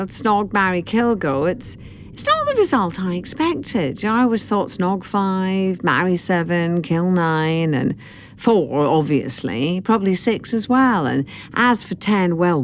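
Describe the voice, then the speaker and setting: A woman acting a gleefully wicked, flippant time-travelling villain in an audio drama, with a teasing, arch, drawn-out delivery. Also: mid-range voice, animated, a little hiss or hum. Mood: flirtatious, musing. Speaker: actor in an audio drama clip.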